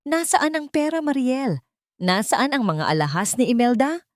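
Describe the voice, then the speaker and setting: Woman acting a desperate villainess, raised pitch. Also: very animated, moderate pace, dry recording, clean studio sound. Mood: furious, demanding. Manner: demanding, shrieking. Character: desperate, enraged, greedy younger villainess.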